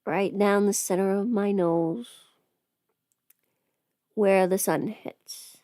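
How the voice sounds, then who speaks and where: A woman playing a chatty, playful streamer who rambles casually through her makeup routine, often slipping into hushed asides. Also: medium pitch, steady tone, slow pace, no echo, studio quality, lightly acted. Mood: focused, chatty. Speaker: livestreamer doing a get-ready-with-me makeup stream. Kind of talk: casual livestream chat, scripted audio roleplay.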